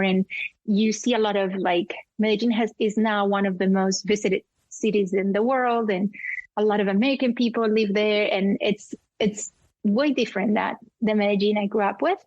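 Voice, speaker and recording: Colombian woman, 40s, Spanish native, interview guest, heartfelt, halting, dry muffled sound. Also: medium pitch, darkish tone, no echo. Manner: emotional, candid, many fillers and restarts. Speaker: guest, cinematographer. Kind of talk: casual interview conversation.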